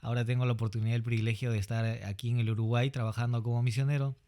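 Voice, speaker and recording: A man's voice: mid-range voice, level tone, rapid-fire, dark tone. A young man from Peru with a Peruvian Spanish accent, a missionary guest answering interview questions politely and earnestly, hesitating with fillers. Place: studio.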